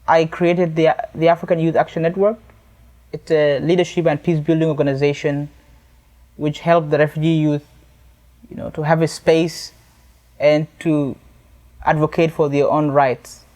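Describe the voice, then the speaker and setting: A young man from South Sudan with a South Sudanese accent, giving a prepared personal testimony in an earnest, deliberately phrased way. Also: fairly high pitch, darkish tone. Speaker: youth activist and charity event speaker.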